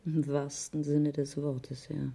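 Very dark-voiced, doubtful wife. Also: female voice, sits low, level tone, slow, gravelly, slightly rough, heavily acted. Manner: hesitant, questioning. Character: quiet, doubtful wife examining clues. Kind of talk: radio crime drama dialogue.

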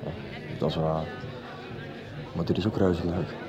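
A man playing a wry, very deep-voiced man. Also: level tone, a little slow, music in the background. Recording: lo-fi street scene with crowd.

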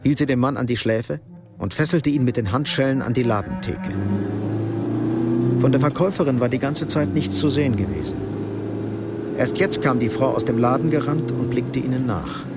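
A man playing the sober narrator of a crime radio drama, telling the story in a measured, matter-of-fact way. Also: medium pitch, lively intonation, heavy background noise, lightly acted.

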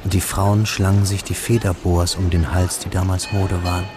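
A man acting as the narrator of a radio play, reading literary prose in a composed, measured, descriptive way. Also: deep voice, little pitch movement, music in the background, close to an everyday voice. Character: composed male third-person narrator of a literary tale.

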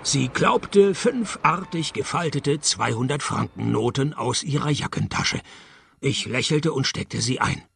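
An actor playing a wry, cynical private detective in a radio play, narrating and talking in a dry, sardonic manner. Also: male voice, mid-range voice, animated, measured pace, vocal fry, a bit bright, slightly rough, no echo, full character voice.

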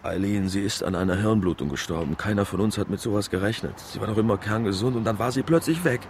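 A man acting in a German radio drama as a stubborn, anxious middle-aged widowed father and factory worker, halting and emotional. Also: fairly low pitch, a little hiss or hum, close to an everyday voice. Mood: grieving, subdued. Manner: halting, emotional, muttering to himself.

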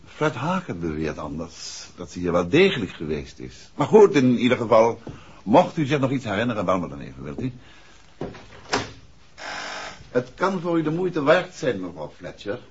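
An actor playing a composed, principled writer and amateur detective in a 1960s Dutch radio play, speaking politely and with assurance. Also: male voice, medium pitch, very animated, fairly quick, a little hiss or hum. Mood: brisk, mildly impatient. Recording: dull, muffled old radio recording.

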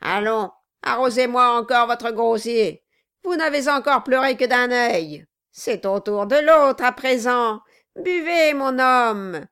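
A woman voicing a malicious male dwarf in an audiobook, loud, commanding and mocking. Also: on the high side, animated, slow pace, smooth. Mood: scornful bullying. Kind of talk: dramatized audiobook reading.

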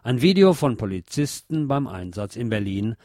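A man hosting a radio show, presenting commentary and reading news aloud in a deliberate way with pauses. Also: medium pitch, sing-song, heavy background noise.

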